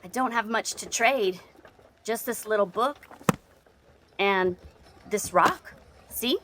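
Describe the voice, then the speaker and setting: An actress playing a weary, guarded widow in an audio drama, plain-spoken, hesitant and stubborn. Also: female voice, medium pitch, slow pace, background noise, lightly acted. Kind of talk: dramatic audio fiction acting.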